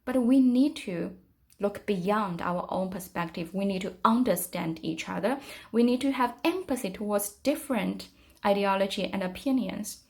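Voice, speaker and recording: A middle-aged woman from China with a Chinese accent, giving a reflective, casual tour of her art studio with frequent pauses and fillers. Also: mid-range voice, lively intonation, vocal fry, darkish tone. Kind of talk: casual guided tour narration.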